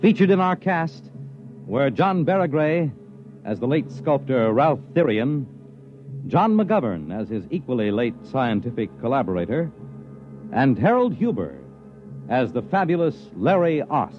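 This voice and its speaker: A man announcing the credits of a 1950s radio show in a formal, measured way. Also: mid-range voice, sing-song. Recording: dull old radio broadcast with faint music.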